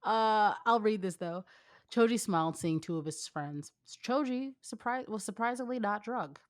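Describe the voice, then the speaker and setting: A woman's voice: mid-range voice, animated, moderate pace, no room sound. A young woman reading fan fiction aloud as informal narration, with a casual, wry manner. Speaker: co-host, fan fiction reader. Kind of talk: informal story narration with banter.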